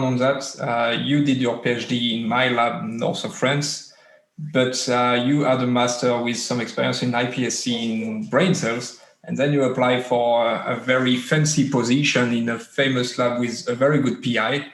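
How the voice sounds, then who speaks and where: A man, a lab head and PhD supervisor, adding light, joking remarks with hesitant fillers. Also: medium pitch. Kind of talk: panel talk. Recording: echoey room.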